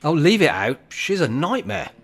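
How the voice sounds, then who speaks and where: A man acting in an amateur radio play as a sentimental older widower, speaking in an earnest, halting, reflective way. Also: on the high side, very animated, vocal fry, breathy edge, exaggerated voice. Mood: flustered. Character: sentimental, guilt-ridden older widower in a care home.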